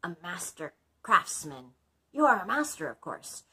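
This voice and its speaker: A woman's voice: on the low side, big pitch swings, unhurried, sharp tone, breathy edge, full character voice. A woman voicing a polite, bossy male iron dragon in a storybook, speaking emphatically and courteously. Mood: eager, coaxing.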